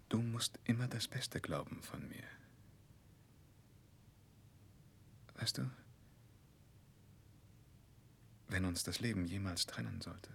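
An actor playing a charming, world-weary young gentleman in a 1960s German radio play, languid and offhand with a melancholy undertone. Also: low voice, gravelly, slight background noise. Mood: wistful.

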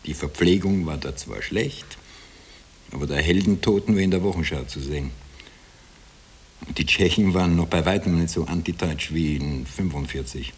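Radio-drama narrator: Austrian survivor, deep and husky, drily self-deprecating. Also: male voice, moderate pace. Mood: wry, resigned. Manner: ironic, confiding, self-deprecating storytelling. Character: wry Austrian everyman recounting his wartime survival. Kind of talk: first-person narration in 1960 radio drama.

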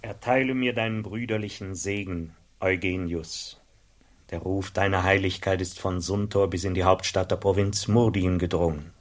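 An actor playing a stately, admiring provincial bishop in a German radio drama, speaking in a solemn, lofty, declamatory manner. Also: male voice, deep voice, steady tone, normal speed, close to an everyday voice. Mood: reverent praise.

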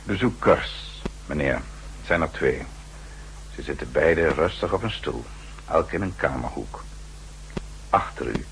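Deep-voiced, deferential manservant. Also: male voice, animated, vocal fry, a bit bright, exaggerated voice, low-quality recording. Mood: deferential, composed. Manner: measured, deferential, precise. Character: formal male manservant, polite and quietly menacing secret agent. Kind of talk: 1960s Dutch radio drama acting. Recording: old radio recording with faint hum.

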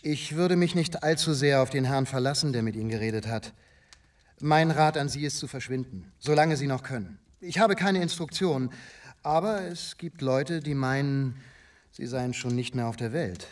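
A man's voice: medium pitch, normal speed, recorded from a distance, natural voice. A man acting in a radio drama as a blunt, stern police inspector with a warning manner.

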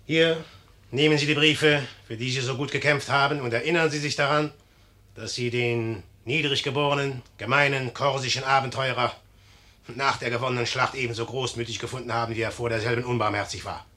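An actor playing a commanding, shrewd and sardonic young general in a 1950s German radio play. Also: male voice, medium pitch, steady tone, a little fast, sharp tone, natural voice. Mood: stern, proud.

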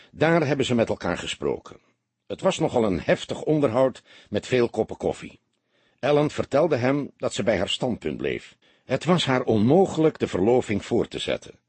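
A man voicing a seasoned, greying police detective in an audiobook, calm, sober and matter-of-fact. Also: medium pitch, moderate pace, slightly rough, no room sound.

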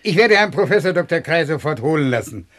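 An actor playing a formal, anxious school headmaster who defers to authority in a comic radio play. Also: male voice, fairly high pitch, animated, fast talker, a bit bright. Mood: formal, deferential. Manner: formal, obsequious.

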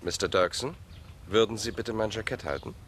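An actor playing an urbane, ironic gentleman lawyer in a German radio drama, suave and mock-courteous. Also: lowish voice, a little fast, husky. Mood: mock-polite.

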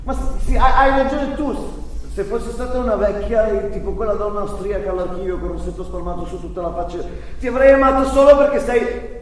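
A man's voice: high-pitched, brisk, close to an everyday voice. An actor playing an agitated, lovestruck young German man in a staged drama, pleading insistently with stammered repetitions. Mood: earnest, halting. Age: young adult. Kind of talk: live theatrical staged reading, naturalistic drama. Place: theatre, recorded from a distance. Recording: very echoey hall, distant mic, faint noise.

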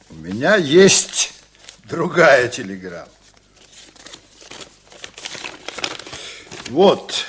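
Stubborn ship captain in an old radio play, very animated, bit husky, formal and ironic. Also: male voice, mid-range voice, exaggerated voice. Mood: ironic confidence. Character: defiant, proud sea captain, stubbornly principled. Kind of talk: Soviet radio drama acting.

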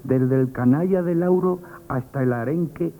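A man's voice: a little high, moderate pace, lightly acted. Timid comic shop boy, acted by a man, tinny radio. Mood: anxious, comic. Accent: Spanish, from Spain. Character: timid, comically frightened young shop boy, Madrid working-class. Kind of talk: 1970s Spanish radio comedy theatre acting. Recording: tinny, band-limited old radio broadcast.

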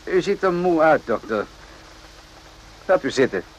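An actor playing a courteous but probing army captain in a radio play, questioning in a measured, pointed way. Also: male voice, a little high, animated, a little hiss or hum, rough recording. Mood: polite, probing.

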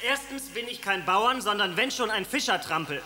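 A man's voice: high voice, expressive, normal speed, pushed and bright, a little hiss or hum, full character voice. An actor playing a cheeky, clever young fisherman with a sly, mocking manner in a radio drama.

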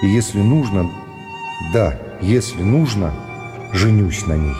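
An actor playing an earnest, remorseful gentleman thinking aloud slowly and haltingly in a radio reading. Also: on the low side, animated, in a large hall, music in the background. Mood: resolute, moved. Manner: slow, halting, introspective.

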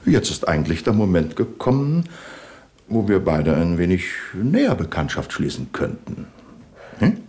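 An actor playing a calm, probing police inspector in a 1970s radio crime drama. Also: male voice, deep voice, normal speed. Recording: roomy studio sound.

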